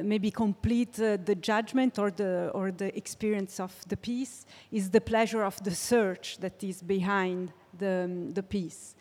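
A woman's voice: mid-range voice, darkish tone. A woman moderating a panel, asking questions hesitantly with many fillers. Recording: long hall reverb.

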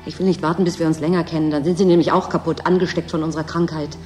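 Actress doing bored needy young woman in a radio play, weary and resigned, middling low voice, music behind. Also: flat delivery, racing, warm tone, lightly acted. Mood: bitter, pleading. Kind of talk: German radio drama acting.

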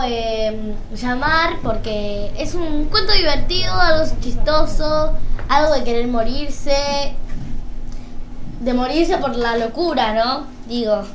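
A woman hosts casually with a high voice and a Rioplatense Argentine accent. She rambles and hesitates, and the room is echoey with faint noise behind her. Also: a bit bright. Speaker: radio host. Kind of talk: casual radio presenting. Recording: roomy echo, background noise.

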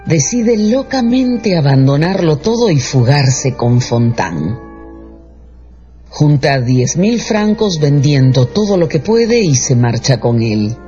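A man's voice: on the high side, very animated, takes it slowly, dark tone. A man giving scripted dramatic narration as the storyteller of a radio drama. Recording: dull radio production with music underneath.

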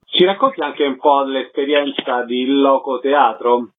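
A man's voice: medium pitch, unhurried. A man hosting a radio programme, putting questions to guests and giving announcements. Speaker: radio host interviewer. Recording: slightly dull sound, faint noise.

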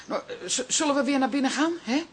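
A woman's voice: high voice, fast, slight background noise, close to an everyday voice. An actress playing a proud, stubborn older grandmother who gets flustered and repeats her words, in a radio drama. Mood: flustered, apologetic.

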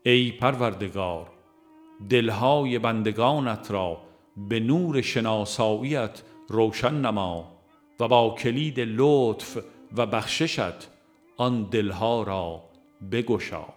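A man reading a religious audiobook in a measured, formal, devotional way. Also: fairly low pitch. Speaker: audiobook narrator. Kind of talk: scripted audiobook narration of a religious text. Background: music.

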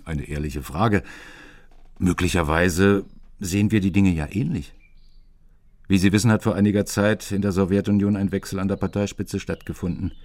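Very deep-voiced secret police boss. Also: male voice, a little mellow, no room sound. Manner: measured, authoritative, persuasive. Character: imposing, dangerous secret-police boss, calmly persuasive. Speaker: senior secret police officer. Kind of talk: radio drama acting.

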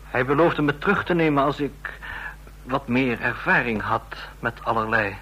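An actor playing a nervous, submissive subordinate agent, hesitant and deferential, in a radio drama. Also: male voice, medium pitch, moderate pace, sharp tone, husky, low-quality recording. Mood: subdued, anxious. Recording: vintage radio play with faint hum.

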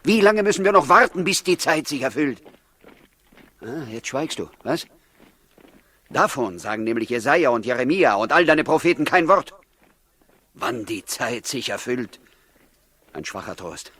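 An actor playing a bitter, sceptical rebel of ancient Judea, sarcastic and challenging, in 1960s German radio drama style. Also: male voice, mid-range voice, animated, a little slow, long pauses, fairly bright tone.

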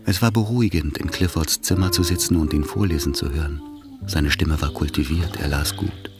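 A man narrating a period radio drama in a grave, measured literary manner. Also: extremely low pitch, steady tone. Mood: grave, reflective. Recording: music underneath the voice. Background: music.

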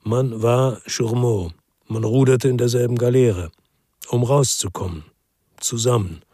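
A man playing a brooding former police detective who narrates a crime radio drama in a sober, terse, reflective way with a husky voice. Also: medium pitch, unhurried, mellow, no echo, clean studio sound, natural voice.

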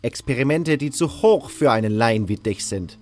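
A man's voice: mid-range voice, lively intonation, normal speed, a little mellow, a little hiss or hum, pitched above the performer's own voice, lightly acted. A man voicing a cold, arrogant, impatient alchemist guru in a fantasy audio drama, snapping haughtily and dismissively. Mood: irritated, dismissive.

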